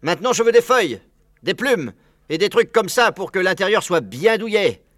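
A man's voice: high voice, sing-song, normal speed, a bit bright, dry recording, clean studio sound. A man voicing a bossy, eager father bird in a children's storybook, commanding and emphatic.

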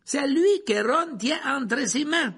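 Man acting a high-strained, urgently pleading professor. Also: lively intonation, fairly bright tone, full character voice. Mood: urgent, insistent. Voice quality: panting, halting. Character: desperate, pleading male professor, agitated, urgent.

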